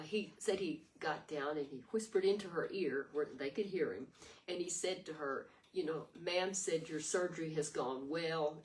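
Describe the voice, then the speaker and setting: An older woman with a raspy voice giving an earnest, rambling devotional talk full of restarts. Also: low-pitched, a little mellow. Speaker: devotional video host. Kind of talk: informal devotional sermon. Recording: distant mic with faint noise.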